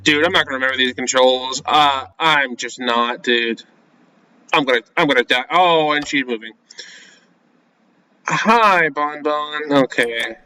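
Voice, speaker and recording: A man's voice: mid-range voice, measured pace, bright, forceful tone, smooth, low-quality recording. A young man playing a game on video, reading its text aloud and reacting in casual, jumpy slang as he talks to himself. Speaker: gaming video creator.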